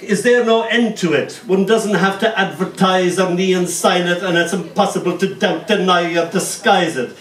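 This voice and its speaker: A man from Ireland reads his poetry in a small cafe, his voice sitting a little high. He jokes with the crowd between pieces and slows into tender recitation. The mic is set back, so the sound is roomy and distant with faint noise behind him. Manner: jokey asides, then slow tender recitation. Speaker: poet reading at an open mic. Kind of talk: poetry reading with banter. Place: small cafe venue with live audience.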